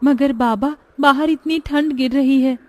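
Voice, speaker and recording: Woman voicing a high-pitched, caring young daughter. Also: steady tone, measured pace, dark tone, no room sound. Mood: concerned. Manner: concerned, earnest. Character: caring, dutiful young daughter of a poor father.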